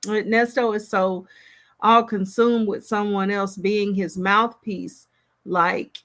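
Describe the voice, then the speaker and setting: A woman's voice: medium pitch, a bit bright. A woman host giving casual reaction commentary in reflective, hesitant phrasing.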